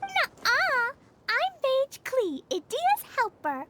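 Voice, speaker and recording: Very high, excitable little girl. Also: slow pace, heavy background noise, heavily acted. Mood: curious. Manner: playful, childlike, exclaiming. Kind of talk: video game voice acting. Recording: game music under the voice.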